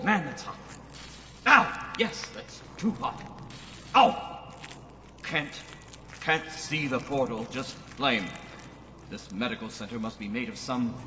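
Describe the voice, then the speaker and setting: A man playing a plucky, bewildered old-fashioned space hero in a radio serial, exclaiming and talking to himself haltingly. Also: mid-range voice, bright, forceful tone. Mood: pained, alarmed. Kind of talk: pulp radio serial acting. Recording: dull, reverberant, faint noise.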